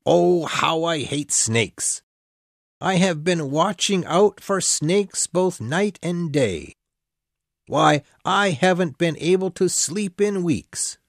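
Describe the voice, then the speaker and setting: A woman voicing a fretful, suspicious male pigeon in a storybook reading, with a shrill, accusing, stubborn manner. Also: lively intonation, slow pace, no room sound, studio quality, heavily acted.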